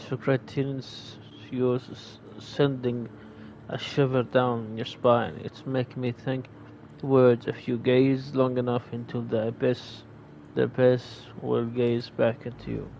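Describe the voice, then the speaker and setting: A man playing a game, reading the on-screen text aloud in a slow, hesitant way and pausing to explain words. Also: mid-range voice, level tone, gravelly, darkish tone, slight background noise, low-quality recording. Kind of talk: casual gameplay commentary.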